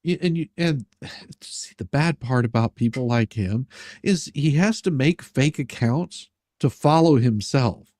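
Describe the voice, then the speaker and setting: Man, medium pitch, livestream host, casual banter, halting repetitive phrasing, sarcastic, close dry sound. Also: a little mellow, no echo. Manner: halting, repeats words, sarcastic.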